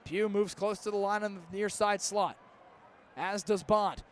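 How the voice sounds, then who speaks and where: Young guy doing radio football play by play, high voice, clipped phrases, some stadium noise behind. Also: fairly even intonation, unhurried. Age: young adult. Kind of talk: live college football radio play-by-play commentary. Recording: outdoor stadium broadcast with background noise.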